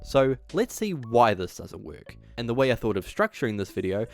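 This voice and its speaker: A man's voice: medium pitch, sing-song, normal speed, faint music behind. A man hosting a video essay, reading a script with emphatic delivery and dropping in casual asides.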